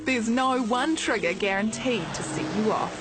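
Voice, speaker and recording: A woman's voice: on the high side, normal speed. A woman with a New Zealand accent, narrating a scripted television feature as its reporter. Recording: music bed behind voice. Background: music.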